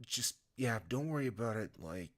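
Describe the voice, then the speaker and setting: A man chatting casually to viewers on a live stream, rambling and halting with lots of fillers and restarts, sounding tired. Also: medium pitch, expressive.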